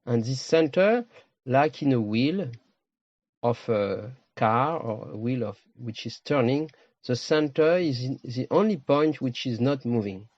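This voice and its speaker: Low-voiced man guiding a meditation. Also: lively intonation, darkish tone, no echo, muffled recording. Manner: slow, pausing, short phrases. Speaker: meditation teacher. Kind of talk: guided meditation.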